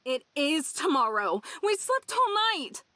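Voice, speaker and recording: A woman's voice: high voice, very animated, a little slow, pushed and bright, no room sound, full character voice. A woman voicing a laid-back, caring young woman in a visual novel, with a casual, offhand delivery. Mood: urgent. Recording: background music under the voice.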